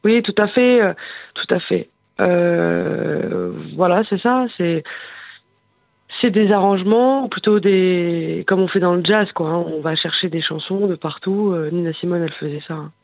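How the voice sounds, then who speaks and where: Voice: mid-range voice, measured pace, gravelly, dry recording. Singer, phone interview, hesitant, many fillers, tinny phone sound, dry. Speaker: singer and bandleader.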